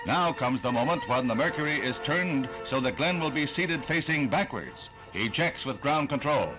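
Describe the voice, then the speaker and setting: A man's voice: mid-range voice, lively intonation, normal speed, poor audio. An American man narrating a 1960s newsreel in a dramatic, grandiose announcer's delivery, on an old film soundtrack. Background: loud music.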